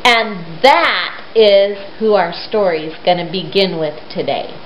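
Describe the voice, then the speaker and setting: A woman retelling a Bible story to young children, speaking simply and emphatically and putting questions to them. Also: on the high side. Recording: roomy, dull lo-fi sound with hiss.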